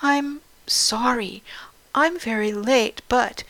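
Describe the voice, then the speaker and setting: A woman's voice: high-pitched, fairly even intonation, unhurried, bright, forceful tone, voice pushed up, low-quality recording. A woman voicing a timid, polite young schoolgirl in a storybook, speaking hesitantly. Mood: nervous, apologetic.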